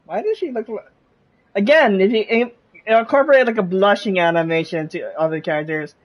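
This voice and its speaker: A woman's voice: medium pitch, fairly quick. A woman giving casual playthrough commentary as she streams a video game. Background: game music.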